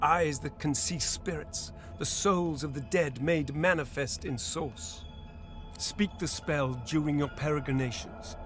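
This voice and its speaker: An actor playing a solemn, lofty god who guides like a mentor in a fantasy video game, speaking gravely and grandly. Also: male voice, fairly high pitch, lightly acted. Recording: orchestral music behind the voice. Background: music.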